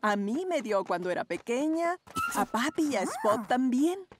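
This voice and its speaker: A woman voicing a caring, gently firm mother pug in a children's cartoon dub. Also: high-pitched, very animated, measured pace, background noise.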